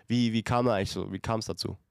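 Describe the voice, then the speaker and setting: A man hosting a casual podcast, speaking in a halting way with many fillers and restarts. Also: on the low side, fairly even intonation, gravelly, dry recording, clean studio sound. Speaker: podcast co-host.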